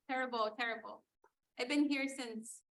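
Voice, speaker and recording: A woman's voice: high voice, fairly even intonation, measured pace, a crowd in the background, rough recording. A woman teacher moderating a class discussion in a questioning, reflective manner.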